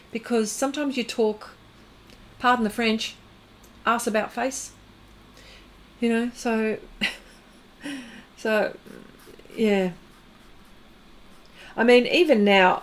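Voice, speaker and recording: A woman hosting an art livestream, chatting casually and rambling as she works, often laughing. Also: a little high. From Australia. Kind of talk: casual chat while demonstrating pastel art.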